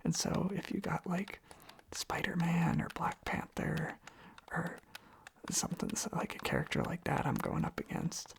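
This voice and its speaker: Whispery asmr person with lots of vocal fry, sleepy casual rambling, repeats stuff softly. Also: medium pitch, a little slow. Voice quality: whispered, breathy. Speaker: ASMR video creator. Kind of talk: ASMR, softly rambling casual chat while tapping on objects. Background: tapping and clicking on objects.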